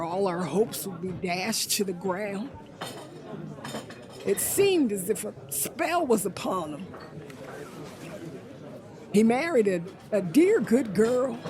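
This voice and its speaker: A woman performing a grieving alcoholic father in a radio drama, halting and mournful. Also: mid-range voice.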